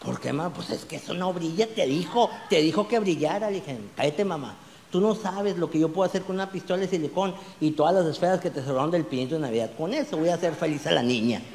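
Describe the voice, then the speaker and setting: A man, doing an animated stand-up routine full of crude slang on a small club stage, holding a handheld mic. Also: a little high, fast talker, long reverb tail, slight background noise. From Mexico. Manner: animated, gesturing broadly, crude slang, rising to shouts on punchlines. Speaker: stand-up comedian.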